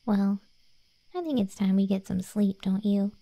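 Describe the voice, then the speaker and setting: A woman voicing a smug, conceited undead cat girl in a soft, teasing ASMR roleplay. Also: mid-range voice, measured pace, no echo. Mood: softly hopeful. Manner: soft, teasing, self-important. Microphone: close, dry studio-style mic.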